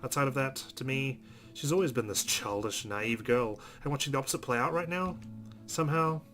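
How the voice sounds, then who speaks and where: A man's voice: medium pitch, brisk, fairly bright tone, faint music behind. A man narrating a visual novel aloud as a let's player, reading it dramatically with brief asides.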